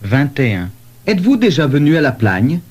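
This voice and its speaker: A man playing a polite questioner in a scripted language-lesson dialogue, articulating deliberately. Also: mid-range voice, very animated, darkish tone, breathy edge. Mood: polite, inquiring.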